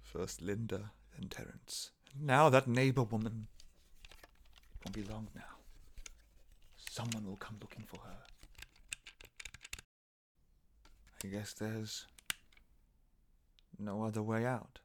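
An actor playing a desperate, unhinged husband in a horror radio drama, theatrical, shifting between mock politeness and frantic pleading. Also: male voice, lowish voice, fairly even intonation, measured pace, pauses often, slight background noise, lightly acted. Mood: distraught. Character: desperate, unhinged husband hiding his monstrous cat wife.

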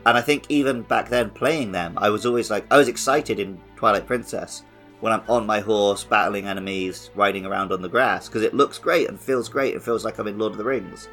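Male podcast host, low register, informal gaming chat with music underneath. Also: brisk, a bit bright.

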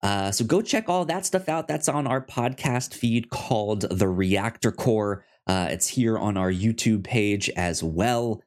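A man's voice: fairly low pitch, expressive, measured pace, hardly any pauses, darkish tone. A man hosting a podcast in a casual, chatty style, often tripping over his words. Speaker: podcast host.

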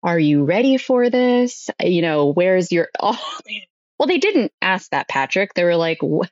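A woman's voice: on the low side, sing-song, a little slow, no room sound, highs cut off. A woman telling a personal story as a podcast guest, conversationally, often acting out bits of dialogue.

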